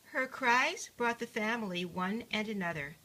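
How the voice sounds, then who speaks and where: An older woman reading a rhyming picture book aloud like a grandmother, measured and singsong. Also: medium pitch, bright, forceful tone, slight background noise, rough recording.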